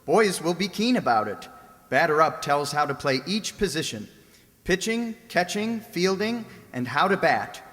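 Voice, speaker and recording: Brief: male announcer for vintage-style radio sponsor copy, slightly high voice, reverberant hall. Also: big pitch swings, a little slow, fairly bright tone. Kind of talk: scripted old-time radio sponsor announcement. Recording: echoey hall, long reverb.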